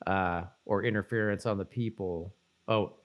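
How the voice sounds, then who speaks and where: A man co-hosting a podcast in casual chat, reading out bits of trivia and thinking aloud in a halting way. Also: on the low side, steady tone, dull audio. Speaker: podcast co-host.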